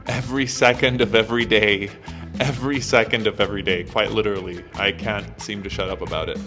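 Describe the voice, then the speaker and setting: A man in his early thirties answers questions in a casual, conversational way. His voice sits fairly low, and loud music plays behind him. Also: steady tone, fairly quick. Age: early 30s. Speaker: fitness influencer answering viewer questions.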